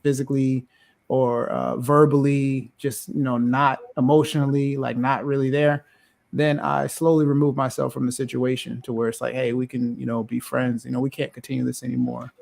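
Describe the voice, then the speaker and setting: A man with a deep, loud, gravelly voice that has a lot of fry in it. He co-hosts a casual panel podcast, talking in a measured, halting way and pausing on fillers. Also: little pitch movement. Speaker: podcast co-host.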